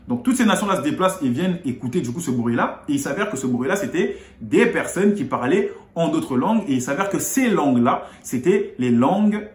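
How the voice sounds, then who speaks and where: A man's voice: a little fast, few pauses. Man explaining scripture in an echoey room. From Congo. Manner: explanatory, animated pitch rises on key points. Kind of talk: explaining scripture in casual chat.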